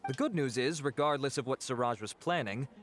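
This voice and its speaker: A man's voice: medium pitch, expressive, a little fast, fairly bright tone, slight background noise, lightly acted. Formal, dutiful officer played by a man. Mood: businesslike. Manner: formal, businesslike. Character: dutiful, formal male law-enforcement officer. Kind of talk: scripted video game voice acting. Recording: music behind the voice.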